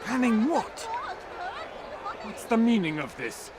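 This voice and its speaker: A high, swooping male voice plays a paranoid knight commander. He bullies and accuses in an agitated bark, over the bustle of a crowd in a game scene. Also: big pitch swings, slow, full character voice. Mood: suspicious, accusing. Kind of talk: video game cutscene acting. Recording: busy crowd noise behind the voice.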